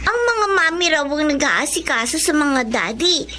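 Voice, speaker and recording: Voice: high voice, very animated, normal speed, background noise. A performer with a Filipino accent playing a sweet, polite, dutiful little daughter in a radio drama. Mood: sweet, eager. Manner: polite, endearing.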